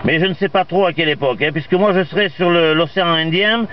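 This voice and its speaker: A man's voice: a little high, fast talker. A man chatting casually as an amateur radio operator, talkative and full of radio jargon, over a radio transmission. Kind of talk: casual radio contact chat. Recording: muffled, noisy two-way radio transmission with vehicle noise.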